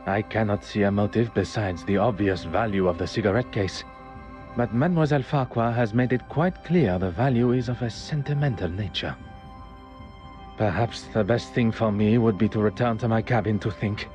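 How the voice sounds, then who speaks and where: A man acts out a courteous, self-assured game detective with a Belgian French accent. His voice sits low, and he picks his words precisely and formally. Music plays clearly behind him. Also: normal speed, a little mellow, dull audio, natural voice. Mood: brooding, deliberate. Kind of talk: scripted game dialogue and narration.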